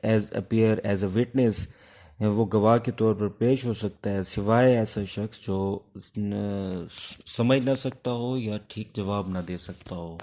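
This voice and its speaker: A man with a Pakistani accent giving an informal law lecture, explaining haltingly, mixing in English terms and posing questions that he then answers. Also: lowish voice, fairly even intonation, warm tone. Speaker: law teacher.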